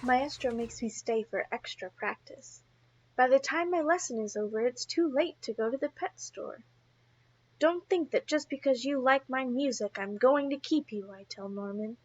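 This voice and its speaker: A woman teacher reading a storybook aloud to young children in an expressive way, speaking to them directly. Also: high-pitched, moderate pace, highs cut off.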